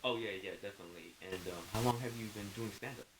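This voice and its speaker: A man's voice: on the low side, fast talker, background noise. A man in his twenties hosting a podcast, chatty in a casual interview and backing guests with plenty of "yeah". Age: 20s. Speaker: podcast host.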